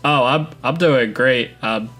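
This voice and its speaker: A young man hosting a casual online interview, easygoing and quick to agree with his guest. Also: mid-range voice, fairly bright tone, faint music behind. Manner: easygoing, affirming. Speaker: host, interviewer.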